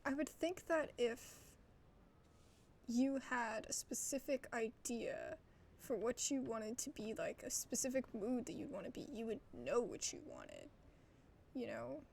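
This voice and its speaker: A woman's voice: fairly high pitch, huge pitch swings, measured pace, creaky, a little mellow. A woman game streamer giving casual, chatty commentary, thinking aloud informally over faint background noise. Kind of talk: casual let's-play commentary.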